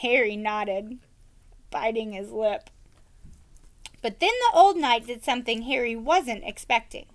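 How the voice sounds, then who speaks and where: A woman's voice: a little high, a little slow, long pauses. A woman reading a storybook aloud to children and explaining it plainly. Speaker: read-aloud reader for children.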